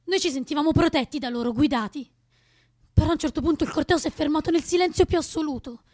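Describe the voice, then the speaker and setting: An actress playing a shaken, reproachful young student protester in a radio drama, emotional and accusing. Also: female voice, sits high, fast, a little mellow, close to an everyday voice. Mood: tense recollection. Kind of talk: naturalistic radio drama acting.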